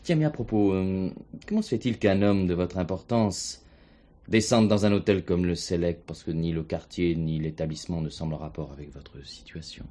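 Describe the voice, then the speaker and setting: An actor playing a calm, shrewd police commissioner in a French stage thriller, questioning with deliberate politeness. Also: male voice, low-pitched, natural voice. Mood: politely probing. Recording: 1970s stage play recording, some hiss.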